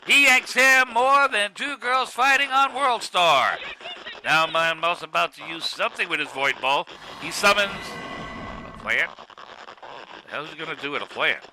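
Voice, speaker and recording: A man giving excitable, scripted play-by-play commentary on a fight. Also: fairly high pitch, measured pace, slight background noise, low-quality recording.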